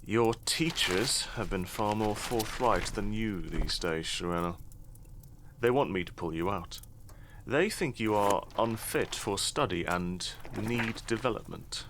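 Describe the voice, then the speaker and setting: An actor playing a middle-aged, shrewd and dry-witted noble father in an audio drama, speaking in a measured, formal, wry way. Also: on the low side, noisy background. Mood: calm, probing.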